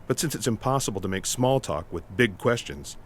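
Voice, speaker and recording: An actor playing an earnest, literal-minded special agent in a comic audio play, polite, with faint office noise behind. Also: male voice, lowish voice, expressive, fairly quick, close to an everyday voice. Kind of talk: comic sci-fi audio theatre.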